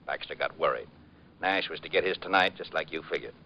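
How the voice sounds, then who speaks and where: A police lieutenant in a vintage radio crime show. His voice is deep and gruff, his delivery clipped and all business. The recording has the dull, muffled sound of an old broadcast. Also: fairly quick, pushed and bright, no echo, heavily acted. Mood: matter-of-fact. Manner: clipped, matter-of-fact. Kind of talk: old-time radio crime drama acting.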